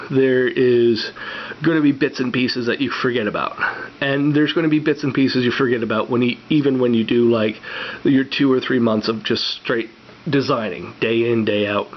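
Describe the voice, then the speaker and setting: A man hosting a game coding channel, stuffed up from a cold, talking in a meandering casual way with many fillers and restarts. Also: mid-range voice. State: congested from a cold. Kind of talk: casual rambling vlog talk. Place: home room, on camera. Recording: slightly dull recording with faint hiss.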